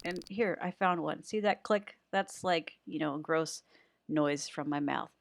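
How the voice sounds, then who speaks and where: A woman's voice: deep voice, unhurried, creaky, no echo, clean studio sound. A woman giving a casual, unscripted editing tutorial in a chatty, rambling way with self-deprecating humour.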